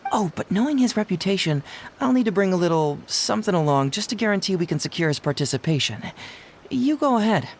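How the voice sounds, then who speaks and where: A man's voice: high-pitched, expressive, quick pace, a little hiss or hum. A man voicing a sly, scheming young detective in a video game, persuasive and coaxing.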